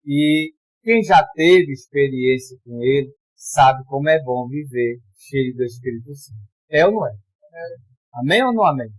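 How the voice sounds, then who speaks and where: A man from Pará, Brazil, preaching a sermon in a conversational way, calling for amens and reading scripture slowly with emphasis. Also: medium pitch, animated, husky, dry recording, faint music behind, low-quality recording. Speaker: pastor.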